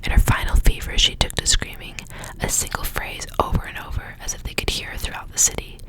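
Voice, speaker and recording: A woman whispering as an ASMR host, reading stories aloud with casual asides in a hushed, unvoiced delivery. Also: brisk, low-quality recording. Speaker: ASMR reader, host of the channel.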